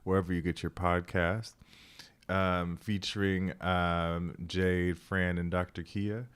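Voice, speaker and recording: Slow, laid-back podcast host man. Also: low-pitched, little pitch movement, a bit bright, smooth. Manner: laid-back, hesitant with many ums. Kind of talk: casual podcast chat.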